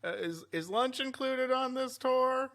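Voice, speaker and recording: A man's voice: very high-pitched, a little slow, fairly bright tone. A man co-hosting a podcast, reading history aloud and adding dry, playful asides. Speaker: podcast co-host.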